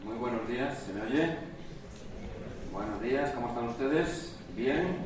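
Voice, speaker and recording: A man's voice: medium pitch, expressive, measured pace. A man from Spain with a Spanish accent giving a live conference talk, hesitant and full of filler sounds as he addresses the audience. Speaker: conference speaker. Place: conference hall. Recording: roomy hall sound from far off with faint noise.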